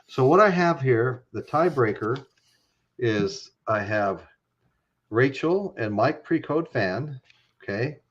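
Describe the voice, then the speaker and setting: A man's voice: medium pitch, expressive, slow. Guy hosting a stream, rambly, laughs a lot, kinda dull lo-fi sound. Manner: rambling, laughs often, many fillers. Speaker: host. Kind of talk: casual live-stream chat.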